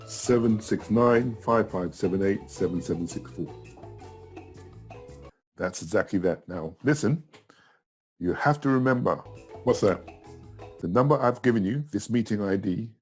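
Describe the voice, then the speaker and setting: A middle-aged man giving a casual step-by-step tutorial in a chatty, simple way, with a husky voice. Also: lowish voice, unhurried, pauses often, faint music behind. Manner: simple, chatty, talking himself through each step. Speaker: tutor in a tutorial video, also acting as the student.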